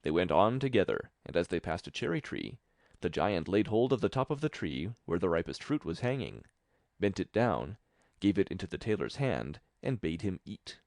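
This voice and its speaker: A man's voice: deep voice, sing-song, moderate pace, a little mellow, no room sound, studio quality. A man reading a fairy tale as scripted audiobook narration.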